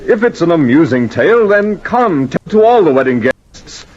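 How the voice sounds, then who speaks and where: An actor playing an impatient, uneasy young wedding guest, protesting in exasperation in 1940s radio drama style. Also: male voice, a little high, sing-song, normal speed, a little mellow. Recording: dull old radio recording, crowd behind.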